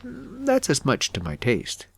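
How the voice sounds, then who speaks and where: A man voicing a regal, gently rebuking sun king in storybook verse. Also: high-pitched, huge pitch swings, slow pace, gravelly, slightly rough, pitched above the performer's own voice, full character voice.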